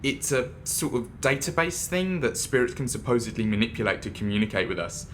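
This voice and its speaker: A man playing an excitable, cheeky young ghost hunter in an audio drama, chatty, eager and teasing. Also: medium pitch, brisk, slight background noise, close to an everyday voice. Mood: casual. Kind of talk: naturalistic audio drama acting.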